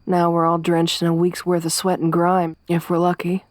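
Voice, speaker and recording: A young woman voicing a tough, tomboyish survivor companion in an ASMR roleplay, speaking softly with a wry, weary warmth. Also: lowish voice, monotone, moderate pace, dry recording, natural voice. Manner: soft-spoken, wry, quietly reflective.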